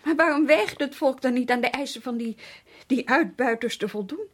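An actress playing an inquisitive, urgent colonial official's wife in a radio drama, insistent and questioning. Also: female voice, high voice, a little fast. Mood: probing.

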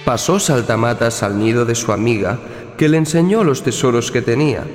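Male storybook narrator, scripted read, mid-pitched, reverberant sound over soft music. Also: lively intonation, normal speed. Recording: echoing narration with long reverb, faint music.

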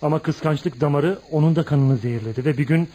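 An actor in a radio play voicing an embittered, proud young outcast who confides in a dramatic, emphatic storytelling manner. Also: male voice, a little high, a little fast, warm tone, close to an everyday voice. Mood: wistful, wounded. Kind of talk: radio theatre acting.

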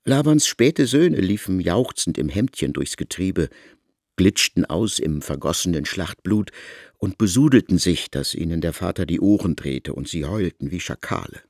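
Man, deep voice, stately literary narration, dry studio sound, soft music behind. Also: lively intonation, moderate pace, dry recording.

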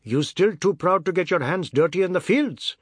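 A big, bossy Trinidadian man from an audiobook, as a narrator acts him out. He is irritable and businesslike, blunt and insistent. The voice is pushed up high and forceful in volume, with lively swings, recorded dry. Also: moderate pace, slightly rough, no echo.

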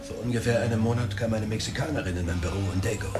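A man's voice: lowish voice, very fast, roomy sound, over music, close to an everyday voice. A man playing a slick, greedy, chummy private detective who talks in slang in a radio drama. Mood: slangy, conspiratorial. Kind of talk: radio drama dialogue, storytelling monologue.

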